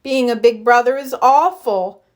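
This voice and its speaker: Woman voicing an eager, high-pitched little boy bear. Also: normal speed, lightly acted. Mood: dejected. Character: eager, excitable young boy bear, proud then upset.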